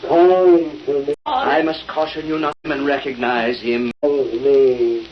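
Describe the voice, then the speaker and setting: An actor in a 1930s-style radio drama playing a solemn swindling spiritualist, intoning theatrically and portentously. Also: male voice, medium pitch, steady tone, a little slow, close to an everyday voice. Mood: solemn, eerie. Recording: muffled, hissy old radio recording.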